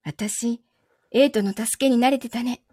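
A woman playing a possessive lover in a voice drama, speaking gently and calmly with quiet menace. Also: a little high, slightly rough, no room sound, clean studio sound. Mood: gently menacing. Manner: soft, gentle, unhurried delivery without shouting. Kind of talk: Japanese voice drama acting.

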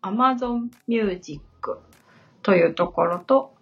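A woman's voice: mid-range voice, takes it slowly, breathy edge. A woman co-hosting a podcast, talking politely and conversationally in simple words. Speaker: podcast co-host and assistant. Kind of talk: conversational podcast talk, answering questions. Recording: slightly dull audio with background music. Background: background music.

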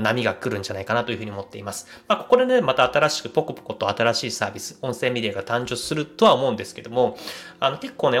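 A man hosting a solo audio show, explaining things casually in halting, hedging phrases. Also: mid-range voice, fairly bright tone. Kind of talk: casual solo podcast talk.

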